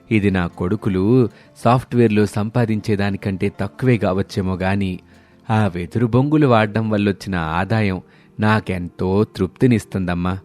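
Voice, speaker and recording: A man's voice: fairly low pitch, darkish tone, natural voice. A man voicing a grateful, tender elderly village father-in-law in a moral story. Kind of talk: moral story character acting.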